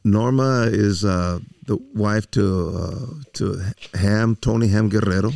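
Gravelly low-voiced man in his seventies. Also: a little slow, a little mellow. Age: 70s. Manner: chuckles often, folksy, mixes in Spanish filler words. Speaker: interview host. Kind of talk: casual conversational interview.

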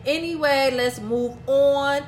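A woman's voice: sits high, slow pace, slight background noise. Chatty woman in her thirties, echoey room. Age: 30s. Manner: chatty, repeats herself, says "like" a lot. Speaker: podcast co-host. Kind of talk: casual podcast chat.

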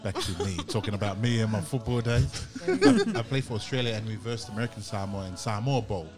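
A man's voice: on the low side, a little fast. A young man co-hosting a casual podcast chat, telling stories with easy laughs. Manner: relaxed, laughing storyteller. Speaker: podcast co-host.

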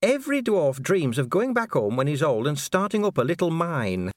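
A man's voice: on the high side, very animated, normal speed, no echo, clean studio sound, pitched above the performer's own voice. A man voicing an anxious young female dwarf in an audiobook, polite, earnest and deferential as she explains. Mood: worried, deferential. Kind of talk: audiobook character dialogue.

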